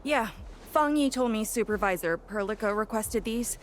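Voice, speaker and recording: Earnest young woman, higher-pitched played by an actress. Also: lively intonation, moderate pace, a little hiss or hum, natural voice. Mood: helpful. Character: earnest, helpful young female courier. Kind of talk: video game voice acting.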